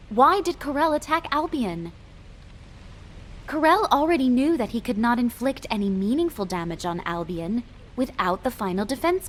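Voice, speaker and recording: An actress playing a composed military officer who speaks formally and with measure, as in a video game briefing. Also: female voice, on the high side, slight background noise. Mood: puzzled, probing.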